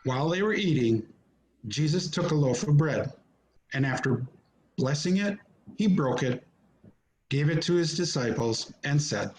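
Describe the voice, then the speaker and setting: Male narrator for scripture reading, slightly high register, home video-call recording. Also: expressive, measured pace, long pauses, darkish tone, poor audio.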